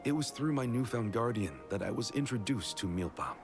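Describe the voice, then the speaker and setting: An actor playing a vigilant, reserved swordsman in a fantasy video game, speaking in a measured, formal, serious way. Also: mid-range voice, lively intonation, lightly acted. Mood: calm, reflective. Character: composed, vigilant male swordsman, earnest and reserved. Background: game music.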